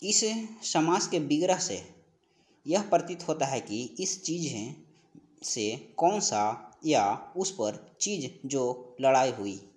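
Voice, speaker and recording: A man's voice: mid-range voice, animated, slow pace, darkish tone. A man teaching an exam-prep class, explaining practice questions and repeating key phrases as he goes. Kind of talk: instructional lecture, working through practice questions.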